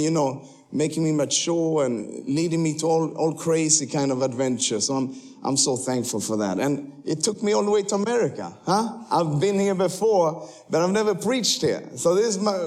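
A young man born in Sweden gives a casual, joking sermon as a guest missionary. His voice sits a little high for a man. He talks in a loose, friendly way with plenty of fillers. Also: darkish tone. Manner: chatty, joking, frequent laughs and fillers. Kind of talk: casual sermon to a church crowd. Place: church sanctuary.

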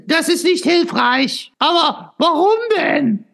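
Eine Frau, die in einem Hörspiel eine ernsthafte, lebensfrohe Suchende spielt und eindringlich fragt. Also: female voice, high-pitched, takes it slowly, gravelly, fairly bright tone, exaggerated voice. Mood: earnest. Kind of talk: audio drama acting.

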